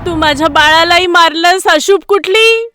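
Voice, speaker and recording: A woman voicing a grieving, furious mother deer in an animal story, wailing shrill accusations. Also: normal speed, over music. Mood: angry.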